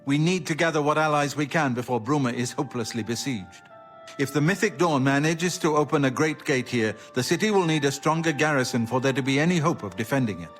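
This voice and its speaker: An actor playing a grave, dutiful grand master of a knightly order in a video game, measured and formal. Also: male voice, mid-range voice, natural voice. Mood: grave, urgent.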